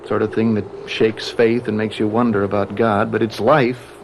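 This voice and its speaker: An actor playing an earnest, plain-spoken young law student in a radio drama, with direct, colloquial speech. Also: male voice, on the low side, flat delivery, moderate pace, lightly acted. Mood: sober. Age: young adult. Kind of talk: American radio drama acting. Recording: radio drama with clear background noise.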